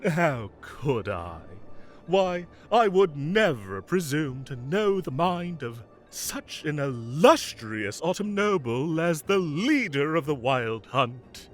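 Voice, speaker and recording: A man playing a mischievous, evasive fae king who is secretly fond, theatrical and halting when flustered, in an audio roleplay. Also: fairly high pitch, sing-song, faint music behind. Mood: feigned innocence. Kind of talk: scripted audio roleplay acting.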